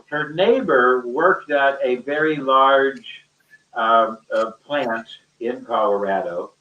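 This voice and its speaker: A man telling a rambling, conversational story in a halting, emphatic way, often repeating his phrases. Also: mid-range voice. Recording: roomy echo, distant mic, muffled sound.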